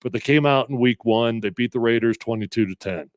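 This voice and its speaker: A middle-aged man hosting casual sports talk, a little congested, energetic and emphatic as he reads comments and makes predictions. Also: mid-range voice, fairly quick, no room sound. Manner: energetic, conversational, emphatic with fillers. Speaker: sports radio host previewing a game.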